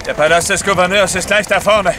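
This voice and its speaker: An actor playing a resolute young-spirited imperial courier in an audio drama, earnest and dutiful. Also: male voice, high voice, steady tone, brisk, over music, natural voice. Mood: urgent. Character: resolute heroic male courier of the Tsar. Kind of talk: German audio drama acting.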